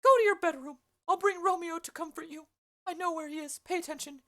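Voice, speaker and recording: A woman voicing an old female nurse with a shrill, wailing voice in a play reading. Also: fast talker, fairly bright tone. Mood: bustling.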